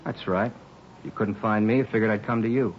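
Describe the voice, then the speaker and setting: A man's voice: on the low side, steady tone, a little fast, over loud music, natural voice. A man acting a weary, defensive robbery suspect in a 1950s radio drama, with blunt, slangy street talk. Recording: dull, hissy old radio recording.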